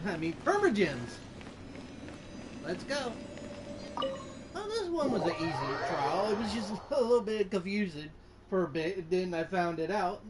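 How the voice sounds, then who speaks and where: A gaming channel host giving casual gameplay commentary, reacting aloud in halting phrases. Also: medium pitch, big pitch swings, background noise. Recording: game music under the voice.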